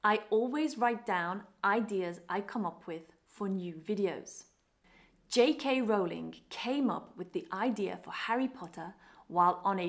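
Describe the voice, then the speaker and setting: A woman with a British English accent leads a scripted English lesson. Her delivery is clear and upbeat, aimed at learners. Her voice sits at an ordinary middle pitch. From Britain. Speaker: English teacher.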